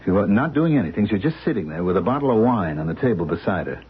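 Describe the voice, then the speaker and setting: An actor playing an anxious husband who stammers and repeats himself, in a radio drama. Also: male voice, fairly low pitch, very animated, racing, husky, heavily acted. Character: anxious middle-aged man, husband, frightened and pleading. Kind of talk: 1970s radio drama acting. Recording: muffled lo-fi old radio recording.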